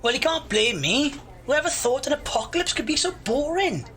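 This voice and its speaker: A woman's voice: sits high, expressive, normal speed, fairly bright tone, a little hiss or hum. A woman acting in an audio drama as a bored, opinionated, argumentative young procrastinator who loves gossip. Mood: bored ranting. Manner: argumentative, grumbling.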